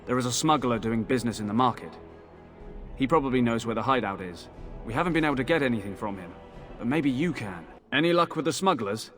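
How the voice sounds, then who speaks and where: Actor as a mid-pitched, businesslike town guard. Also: male voice, animated, quick pace, fairly bright tone, faint music behind, lightly acted. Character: sober male town guard officer seeking help. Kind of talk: video game voice acting.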